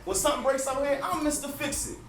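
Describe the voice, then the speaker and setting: A man's voice: very high-pitched, level tone, fast talker. A man acting a frustrated, wisecracking husband in a comedic stage monologue, talking straight to the audience with exaggerated, self-deprecating delivery. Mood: playful bravado. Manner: conversational, addresses the audience, exaggerated. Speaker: stage actor performing a monologue. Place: stage in a theater. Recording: noisy, echoey theater recording from far off.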